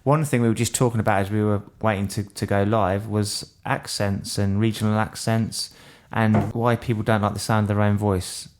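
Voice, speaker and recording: Podcast host: man, London accent, low voice, jokey and rambling. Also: fairly even intonation, moderate pace, hardly any pauses. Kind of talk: casual chat.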